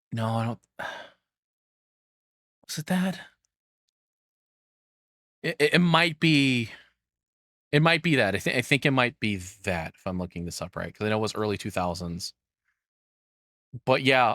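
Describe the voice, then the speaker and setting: A man's voice: mid-range voice, fairly bright tone, dry recording. Casual, profane man in a dry close recording. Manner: informal, profane, opinionated. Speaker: streamer reacting to a video. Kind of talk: casual rambling reaction commentary.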